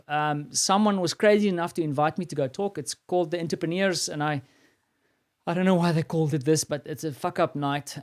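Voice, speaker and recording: A man's voice: on the high side, clean tone. A man with a South African accent hosting a live stream, talking casually and rambling with many fillers and restarts.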